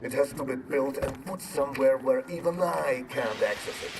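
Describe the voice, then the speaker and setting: An actor playing a grave, principled professor in a video game, speaking earnestly and insistently. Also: male voice, mid-range voice, moderate pace, recorded from a distance, heavy background noise, lightly acted. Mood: urgent.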